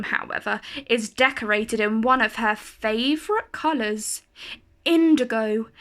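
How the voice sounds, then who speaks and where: A woman reading a children's picture book aloud in an expressive, carefully phrased storytelling style. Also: fairly high pitch.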